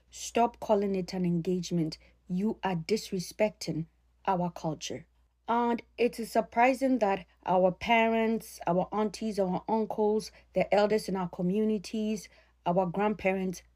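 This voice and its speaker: A woman speaks in a mellow, dark-toned voice at a middle pitch. She addresses her audience directly, admonishing them with emphatic, deliberately repeated statements. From Ghana. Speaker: sociologist addressing followers.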